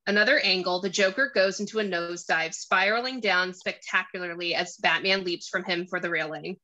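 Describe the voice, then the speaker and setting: A woman's voice: lowish voice, a little fast, pushed and bright, lo-fi sound. A woman narrating a screenplay, reading out stage directions and scene descriptions.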